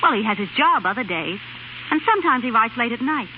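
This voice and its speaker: An actress playing a devoted, earnest young wife in 1930s radio drama style, polite and eager. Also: female voice, fairly high pitch, very animated, brisk. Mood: earnest, defensive. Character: devoted young wife championing her husband's writing. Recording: noisy tinny 1930s radio recording.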